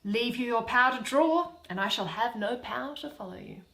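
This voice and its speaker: A woman's voice: a little high, lively intonation, fairly quick, a bit bright, echoey room, voice pushed up. A woman playing a devoted, stubborn young woman in love, imploring and impassioned in a dramatic Shakespeare reading. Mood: pleading.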